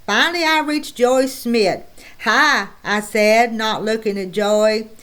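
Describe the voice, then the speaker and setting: A woman's voice: on the high side, a little slow, smooth. Woman reading a children's novel aloud. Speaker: audiobook narrator. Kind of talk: first-person storybook narration.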